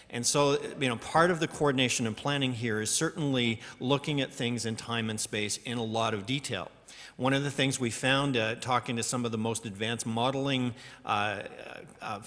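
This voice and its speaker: A man answers questions from the floor at a conference, explaining in a measured way with the odd hesitation. His voice sits in a middle range. The sound carries the long reverb of a large hall. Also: medium pitch, fairly bright tone. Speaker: conference panel speaker. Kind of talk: answering audience questions on a panel.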